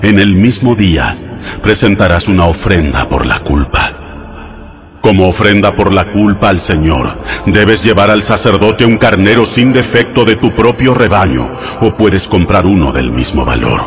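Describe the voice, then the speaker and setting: Deep-voiced, solemn God giving laws. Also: male voice, lively intonation. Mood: solemn, commanding. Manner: solemn, measured, instructive. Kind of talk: dramatized audio Bible reading. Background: music.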